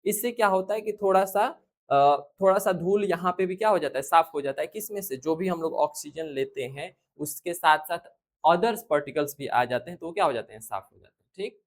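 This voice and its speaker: Indian school science teacher, classroom lecture delivery, medium pitch, repeats key questions and answers them for the students. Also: fairly quick.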